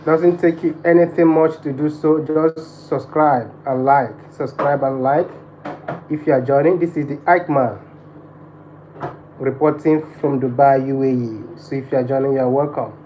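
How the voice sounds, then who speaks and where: A man's voice: mid-range voice, fairly even intonation, moderate pace. A man from Nigeria with a Nigerian accent hosting a casual livestream, addressing viewers and repeating his phrases insistently. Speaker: live stream host. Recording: dull lo-fi live stream, background noise.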